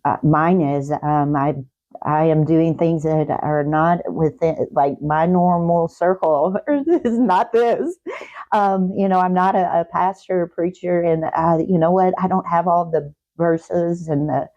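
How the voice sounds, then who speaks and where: A woman with a low, mellow voice speaks as a guest giving heartfelt testimony and encouragement rooted in faith. She goes haltingly, often repeating her words. The sound is a little dull. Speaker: talk show guest.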